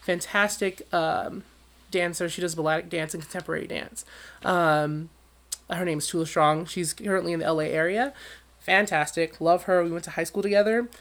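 A man who sings professionally, talking as an interview guest with a high voice and an animated, storytelling manner. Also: moderate pace. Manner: animated storyteller, acts out quotes with big leaps in pitch. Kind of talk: conversational interview.

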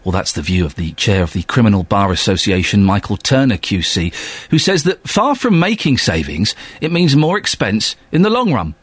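Man, radio host, brisk news-link reading, animated pitch, slightly husky, dry studio sound. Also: no echo. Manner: brisk, reads links, then chats informally. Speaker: radio presenter.

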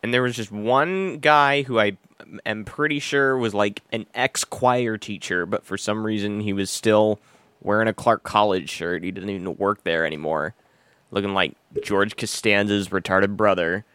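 A man's voice: on the low side, normal speed, fairly bright tone, clean tone, no echo. A man co-hosting a podcast in casual, irreverent banter, joking and ranting with plenty of profanity and sarcasm. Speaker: podcast co-host.

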